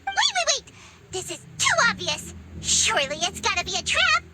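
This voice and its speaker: A woman voicing a tiny, excitable, childlike fairy companion in a video game, squeaky and given to exclaiming. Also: normal speed, heavily acted. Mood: alarmed, fussy. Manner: exclamatory, refers to self by name. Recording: loud game noise behind voice.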